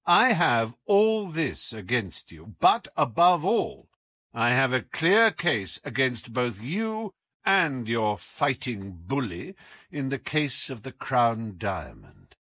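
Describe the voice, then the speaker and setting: A man voicing a sharp, commanding detective in an audiobook, coolly taunting, with deliberate, teasing emphasis. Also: mid-range voice, sing-song, exaggerated voice. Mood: coolly pressing. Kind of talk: audiobook character acting.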